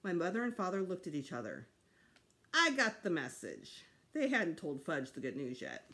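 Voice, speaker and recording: A middle-aged woman reading a children's novel aloud in an expressive storytime style. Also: on the low side, moderate pace. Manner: expressive, acts out dialogue. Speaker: storytime reader. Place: small home room, seated indoors.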